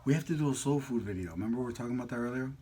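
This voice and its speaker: A man's voice: medium pitch, animated, very fast, dark tone, a little hiss or hum. A man hosting a casual mukbang vlog, chatting in a jokey, slangy, playful way while eating.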